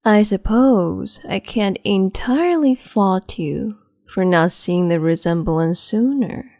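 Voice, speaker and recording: A woman voicing a cruel, capricious empress in an ASMR roleplay, speaking slowly and haughtily in a half-whisper close to the microphone. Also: medium pitch, dark tone, dry recording.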